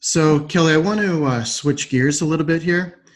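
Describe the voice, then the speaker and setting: A man's voice: fairly high pitch, moderate pace. A man hosting a conversational interview, speaking in an appreciative, affirming way. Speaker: podcast host.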